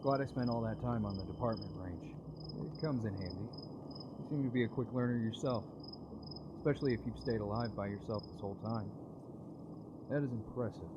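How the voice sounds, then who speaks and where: World-weary policeman, male actor, dry and wry, fairly low register, game dialogue over clear night-insect noise. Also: a little fast, pauses often, lo-fi sound, natural voice. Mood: reassuring. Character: gruff, world-weary male police officer searching for his partner. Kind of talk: video game dialogue acting. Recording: noisy game audio with cricket ambience.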